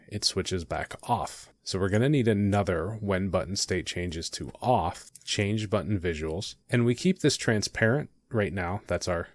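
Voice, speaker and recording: Male tutorial host, low register, conversational step-by-step delivery, very dry recording. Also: no echo. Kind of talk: conversational software tutorial narration.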